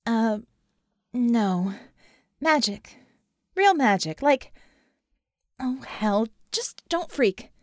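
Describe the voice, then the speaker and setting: Audiobook role: edgy, friendly woman mage played by a narrator, higher register, animated, rambling, dryly funny delivery. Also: drawn-out words, pauses often, no echo, clean studio sound, full character voice. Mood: anxious, insistent. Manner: hesitant, rambling, wry. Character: nervous, earnest young woman techno-magician, friendly and dry.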